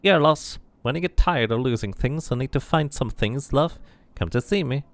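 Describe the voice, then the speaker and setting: A man's voice: mid-range voice, expressive, normal speed, voice pushed up, natural voice. A man voicing a tired, coy streetwalker woman in a fantasy game, teasing and mercenary. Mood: businesslike, teasing.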